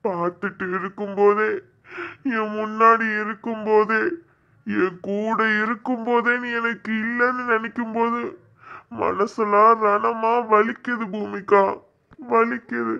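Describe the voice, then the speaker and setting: A man playing a heartbroken young man who pours out his grief slowly, his gravelly voice dragging over every word. He pleads and repeats himself with anguish, heard through a slightly dull, lo-fi recording. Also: high-pitched, level tone, bright, forceful tone, full character voice. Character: heartbroken young man lamenting lost love. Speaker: heartbroken lover. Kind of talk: dramatic monologue.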